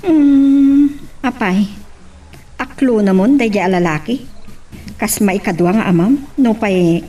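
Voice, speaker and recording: An actress playing a concerned aunt in an Ilocano radio drama. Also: female voice, mid-range voice, slow, mellow. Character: concerned aunt questioning her niece. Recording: distant from the microphone.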